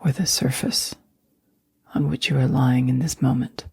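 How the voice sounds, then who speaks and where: Woman, meditation guide, soft mellow tone, breathy half-whisper, slow gentle phrasing, close dry recording. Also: rumbling low, fairly even intonation, dry recording. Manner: slow, gentle, soothing, with long pauses.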